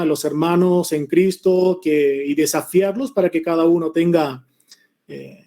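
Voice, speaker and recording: A man in his forties, born in Korea and raised in Argentina, speaking Spanish with a mix of Argentine and Mexican accent, teaching a Bible lesson over a video call in a relaxed, chatty way with many hesitations. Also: a little high. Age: 40s.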